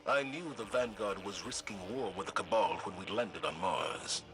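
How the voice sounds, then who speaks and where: Casting: male actor for a resolute game commander, fairly low voice, serious and burdened, music behind. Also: normal speed, close to an everyday voice, rough recording. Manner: firm, serious. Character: stern, principled military commander, burdened and resolute. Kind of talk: video game voice acting.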